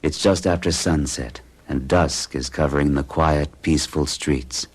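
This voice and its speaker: An actor playing a man under hypnosis who recounts events in a hushed, dreamy way, in a radio drama. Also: a bass voice, fairly even intonation, a little slow, husky, heavily acted. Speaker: narrating lead character. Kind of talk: 1970s radio drama acting.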